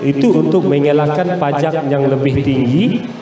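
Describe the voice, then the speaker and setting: A Malaysian man speaks in a fairly high, mellow voice, explaining things as a business guest in an interview. He halts often to find his words. The recording is very echoey. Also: moderate pace. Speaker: business guest, investor and trade promoter. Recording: echoey, distant mic with faint noise.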